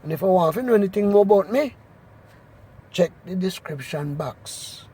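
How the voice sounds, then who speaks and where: A man with a Jamaican accent doing an expressive, emphatic scripture reading in Patois. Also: high voice, normal speed, breathy edge. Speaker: Bible reader.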